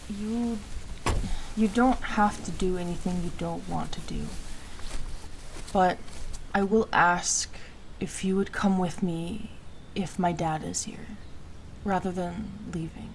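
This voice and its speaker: A woman acting an insecure, hurt but tender young girlfriend in an intimate audio roleplay, speaking haltingly and with raw emotion. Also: on the low side, slight background noise, low-quality recording. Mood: subdued, weary.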